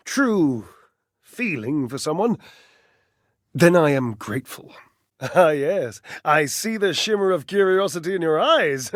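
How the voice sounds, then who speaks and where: An actor playing a flamboyant, lovelorn household-object character in a video game, theatrical, flighty and verbose, with dramatic pauses. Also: male voice, on the high side, no echo, clean studio sound. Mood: hesitant confession, then conspiratorial, dramatic.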